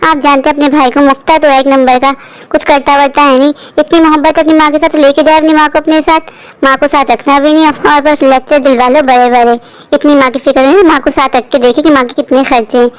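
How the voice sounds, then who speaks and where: A woman's voice: high voice, flat delivery, fast, natural voice, low-quality recording. A woman playing a spiteful wife in a cartoon story, disdainful of her in-laws and siding with her husband.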